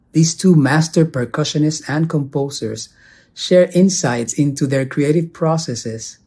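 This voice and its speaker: A man's voice: mid-range voice, warm tone. A man from Puerto Rico giving a formal scripted introduction as host of a theater's artist series. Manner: formal, measured, switches into Spanish greetings.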